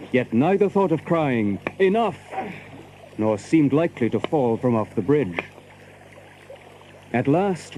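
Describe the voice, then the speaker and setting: Storybook narrator, male, soft dark timbre, mid-range, measured and literary, slight noise behind. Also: mid-range voice, low-quality recording. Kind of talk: scripted storybook narration in archaic English.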